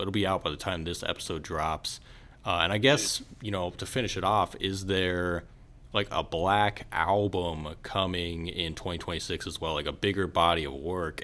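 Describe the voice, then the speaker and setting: A man's voice: low voice, a bit bright. A man hosting a podcast, speaking in a casual, conversational way to a guest and listeners. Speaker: podcast host.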